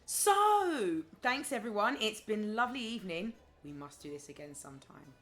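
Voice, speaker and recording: A woman's voice: mid-range voice, sing-song, normal speed, fairly bright tone, faint music behind. A woman acting in an audio play as a wry, sociable adult friend who talks in a chatty, sardonic way. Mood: polite. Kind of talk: audio drama dialogue.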